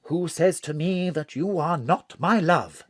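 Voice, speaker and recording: An actor playing an excitable, flowery middle-aged government chief in an audio drama, with theatrical, flustered phrasing. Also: male voice, fairly high pitch, unhurried, dry recording, studio quality. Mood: confiding, dramatic. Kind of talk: audio drama acting over a phone call.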